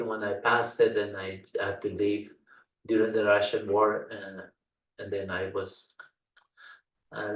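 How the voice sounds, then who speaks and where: An older Dari-speaking man walks through a software tutorial in a halting way, stopping often on "uh". His voice sits a little low, and it sounds roomy and set back from the microphone. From Afghanistan. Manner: halting, frequent "uh" fillers, folksy. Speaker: computer science instructor. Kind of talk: screen-share software tutorial walkthrough. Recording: roomy sound, distant from mic.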